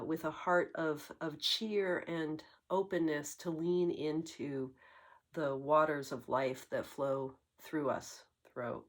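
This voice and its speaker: A woman hosting a tarot channel, getting over a cold and slightly congested, speaking in a reflective, musing way with frequent pauses and fillers. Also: sits low. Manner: musing, searching for words, many fillers. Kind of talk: reflective spoken monologue.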